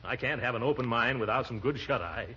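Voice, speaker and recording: An actor playing a pompous, flustered middle-aged uncle who blusters and mutters in a 1940s radio sitcom. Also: male voice, medium pitch, a little fast, sharp tone, lightly acted. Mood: scheming, worried. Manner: blustering, muttering, sputtering with chuckles. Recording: muffled, very noisy 1940s radio recording.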